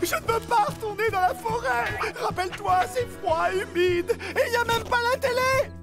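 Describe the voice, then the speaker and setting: Very high, earnest little cartoon cat. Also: female voice, normal speed. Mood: worried, pleading. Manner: earnest, excitable. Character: small, earnest, naive male cat, loyal and fretful. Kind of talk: animated French cartoon dubbing. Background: music.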